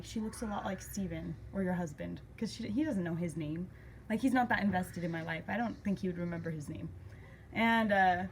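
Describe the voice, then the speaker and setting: A young woman telling a personal story casually and emotively, acting out remembered conversations as she goes. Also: lowish voice. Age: young adult. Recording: clear background noise.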